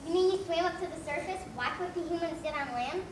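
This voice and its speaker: Echoey noisy hall, woman playing stern fish schoolteacher, high voice, brisk, theatrical, dismissive. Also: distant mic. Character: prim, dismissive fish schoolteacher of the undersea class. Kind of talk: children's stage play acting.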